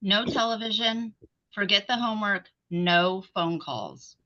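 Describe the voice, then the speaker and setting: A woman plays a mother who soothes and controls in a horror script read. Her voice is bright and pointed, at a medium pitch. The recording is dull and band-limited. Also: unhurried. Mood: firm, coaxing.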